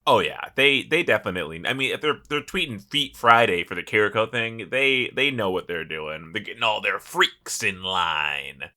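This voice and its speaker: A man's voice: lowish voice, expressive, moderate pace, few pauses, pushed and bright. A man co-hosting a casual podcast about gaming, talking in an informal, jokey way. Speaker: podcast co-host. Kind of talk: casual chat about a video game.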